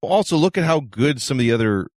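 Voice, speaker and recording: A man on a casual talk panel gives blunt, sarcastic opinions. His pitch is mid-range but swings up and down in a lively way, and the sound is dry. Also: fast, dry recording. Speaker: podcast panelist.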